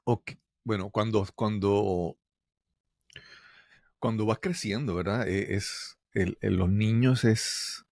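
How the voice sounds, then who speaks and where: Guy hosting a podcast, lowish voice, dry close sound, stumbles and restarts a lot. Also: steady tone, takes it slowly, vocal fry, a little mellow, no room sound. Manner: hesitant, many restarts and fillers. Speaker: podcast host. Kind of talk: interview questions.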